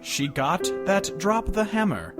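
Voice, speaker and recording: A man putting on a mock-dramatic radio voice, reading verse in a slow, exaggerated, theatrical way. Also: high voice, very animated, over music, pitched above the performer's own voice. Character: mock-dramatic radio-voiced reader declaiming silly country lyrics.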